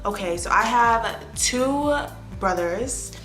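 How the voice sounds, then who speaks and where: A young American woman in her twenties chatting casually to the camera as an influencer, answering fan questions with animated bursts of excitement. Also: on the high side, slow, reverberant, over music. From United States. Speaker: lifestyle influencer. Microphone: phone or tablet camera.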